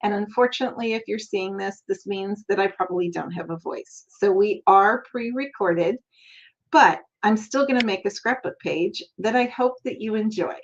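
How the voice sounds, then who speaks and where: A woman's voice: mid-range voice, normal speed. An older woman hosting a casual crafting tutorial, chatty and friendly, laughing often as she talks. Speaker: crafting channel host. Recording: slightly dull lo-fi sound.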